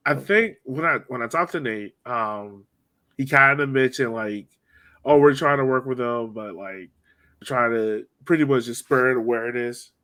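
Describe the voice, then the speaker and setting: A man's voice: medium pitch, normal speed, fairly bright tone. A man hosting a sports podcast, chatting informally and slangily as a fan. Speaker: podcast host. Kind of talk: casual sports chat.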